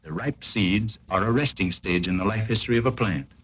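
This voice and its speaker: A man narrating a 1940s educational film in a formal, didactic, carefully explanatory way, with a slightly rough, husky voice, on an old film soundtrack. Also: fairly low pitch, brisk.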